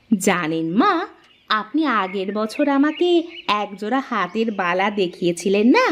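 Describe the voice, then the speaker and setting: A woman's voice: mid-range voice, lightly acted. A woman voicing a scheming, spiteful elder daughter-in-law in a cartoon, with an insinuating, wheedling manner. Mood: sweetly flattering. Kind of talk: cartoon family drama acting.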